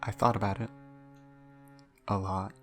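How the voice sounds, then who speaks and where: An actor playing a troubled, hesitant space traveler facing death alone in an audio drama, haltingly searching for words. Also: deep voice, little pitch movement, fairly bright tone, faint music behind. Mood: anguished.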